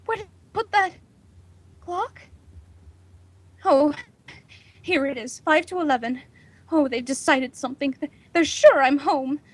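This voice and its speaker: An actress playing a nervous, imperious housewife on edge, in a melodramatic 1940s radio drama style. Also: female voice, high voice, measured pace, long pauses, no echo. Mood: anxious, agitated. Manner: haughty, melodramatic, agitated. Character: nervous, imperious, panicking housewife alone at night.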